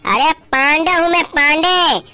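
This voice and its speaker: Swaggering village policeman put on by a cartoon dubber, male role, very high bright voice, bombastic. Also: a little slow. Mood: swaggering, threatening. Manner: bombastic, drawling threats, theatrical. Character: blustering, vain, bribe-taking village policeman, swaggering man. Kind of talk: comedy cartoon dubbing.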